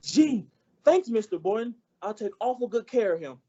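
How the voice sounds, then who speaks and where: An eager, folksy teenage boy played by a man in a radio comedy, earnest and polite. The voice is soft-edged and mellow, on a slightly muffled recording. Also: high-pitched, very animated, moderate pace, slightly rough, full character voice. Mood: cheerful, earnest. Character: eager, naive, good-natured teenage schoolboy. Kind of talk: old-time radio comedy acting.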